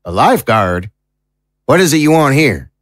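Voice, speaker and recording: A man playing a gruff, no-nonsense small-town law officer in an audio drama, talking bluntly and urgently in a folksy way. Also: mid-range voice, very animated, moderate pace, fairly bright tone, no echo, studio quality. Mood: suspicious. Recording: noisy background ambience.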